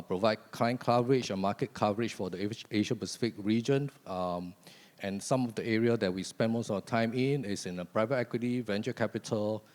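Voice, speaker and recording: A man's voice: fairly low pitch, darkish tone, long reverb tail. A man introducing himself as a bank representative on a conference panel, in a businesslike way with frequent filler sounds. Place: conference hall.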